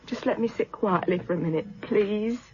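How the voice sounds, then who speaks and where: An actress playing a shaken but sharp-tongued British woman witness in a radio drama, hesitant and then bluntly defiant. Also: mid-range voice, moderate pace, breathy edge, highs cut off.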